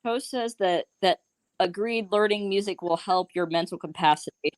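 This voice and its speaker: A woman's voice: mid-range voice, normal speed. A woman co-hosting an interview, chiming in with playful asides. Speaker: co-host. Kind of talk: casual interview chat. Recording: audible background noise.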